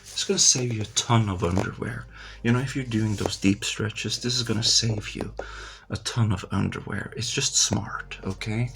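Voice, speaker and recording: A man speaking English as a second language, calmly narrating his meditation in a slow, hesitant, soft-spoken way with many fillers, in a quiet room at night. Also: on the low side, vocal fry, poor audio. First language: not English. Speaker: meditation vlogger. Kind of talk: calm narrated live meditation.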